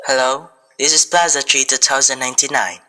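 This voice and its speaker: A woman acting as a detached telegraph clerk with a very low, bright, forceful voice. The delivery is formal and clipped, like official business in a radio play. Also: fairly even intonation, a little fast.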